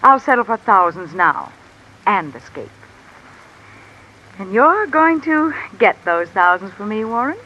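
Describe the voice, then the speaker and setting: A woman's voice: mid-range voice, measured pace, pauses often, highs cut off. A woman playing a calculating, discontented wife with an insinuating, controlled manner in 1950s radio mystery style. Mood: bitter, grasping. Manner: insinuating, controlled, coolly commanding. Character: cool, calculating, discontented wife blackmailing a man. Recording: old radio recording with steady rain noise. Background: rain falling.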